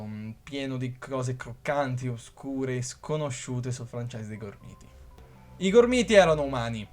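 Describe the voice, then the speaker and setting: A man narrating a scripted explainer video, reading from a script and stumbling now and then. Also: mid-range voice. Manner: reads from a script, occasional stumbles. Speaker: YouTube creator.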